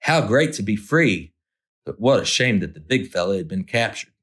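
A man's voice: lowish voice, sing-song, normal speed, a bit bright, slightly rough, faint music behind. A man narrating a children's picture book as a storytime host, expressively, drawing out key words for effect.

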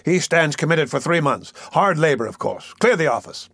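A man's voice: fairly high pitch, expressive, moderate pace, gravelly, a bit bright, slightly rough, dry recording, clean studio sound, voice pushed up, heavily acted. Man acting a pompous, barking, bad-tempered magistrate. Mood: indignant, barking. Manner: peremptory, barking, contemptuous. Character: pompous, irascible, bullying police magistrate, a man.

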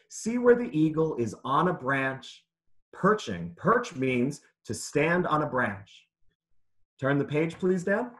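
Man, fairly high voice, lively intonation, children's read-aloud lesson, simple teacherly phrasing, questions to listeners. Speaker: author reading aloud to children.

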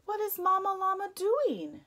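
A woman voicing a small, anxious boy llama who whimpers and pleads in a high voice. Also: sing-song, measured pace, a bit bright, full character voice. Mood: wailing.

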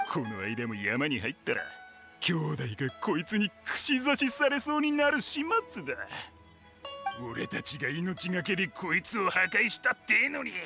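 Game villain, coarse sneering thug boss, highish forceful voice, very animated. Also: male voice, full character voice. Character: rough, hot-tempered bandit thug leader. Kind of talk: Japanese game voice acting.

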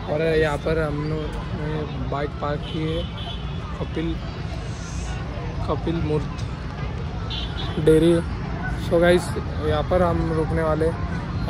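Young man, hoarse throat, high voice, flat delivery, street traffic noise behind, bike-mounted mic. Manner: chatty, addresses viewers as guys and brother. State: hoarse from dust. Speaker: motovlogger. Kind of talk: casual motovlog narration. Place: outdoors on busy city streets with traffic. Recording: noisy outdoor street recording. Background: traffic and motorcycle engine noise.

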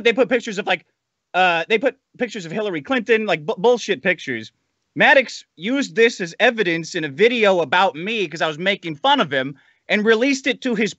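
A man's voice: high voice, sharp tone, dry recording, muffled recording. A man ranting casually as a podcast guest, profane and sardonic, over a remote call.

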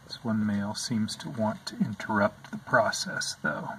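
A man calmly narrating over footage of his pet insect tanks, explaining what they do as he films. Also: on the low side, a little hiss or hum, rough recording. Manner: slow, calm, explanatory. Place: indoors at an insect tank.

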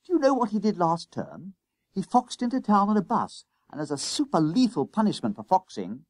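Storybook schoolboy gossip, played by a man: eager, slangy, high, bouncing intonation. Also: wildly swooping pitch, normal speed, no room sound, exaggerated voice. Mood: admiring, teasing. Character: gossipy, excitable schoolboy who relishes stirring trouble.

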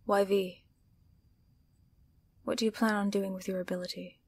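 A woman's voice: mid-range voice, little pitch movement, normal speed, husky. A British woman playing a sly, teasing supervillain in an audio roleplay, wry, knowing and probing.

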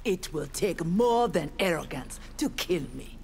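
A lowish Nigerian-accented young warrior woman speaks with formal, firm defiance. She is a proud, righteous armored ninja in a fighting game, with faint music behind. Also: lively intonation, a little slow, vocal fry, a little mellow. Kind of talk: video game fight dialogue.

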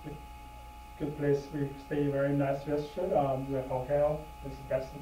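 A man giving a halting impromptu speech at a club meeting, with many fillers. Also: medium pitch, level tone, dark tone. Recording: echoey room from far off, noisy.

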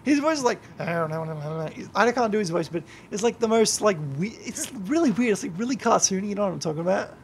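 A tipsy young man streams a game and rambles, cursing freely and breaking into yells at the screen. His voice sits high for a man and has a gravelly rasp. A faint hiss runs under it. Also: expressive, fast talker, few pauses. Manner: rambling and heavy swearing, yelling at the game. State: drunk. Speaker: YouTuber and streamer. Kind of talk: gameplay livestream commentary.

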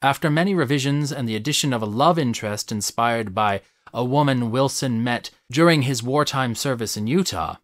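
A man reads a scripted video essay with a measured, analytical delivery. His pitch sits in the middle for a man. The sound is close and dry, with no room around the voice. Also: dry recording.

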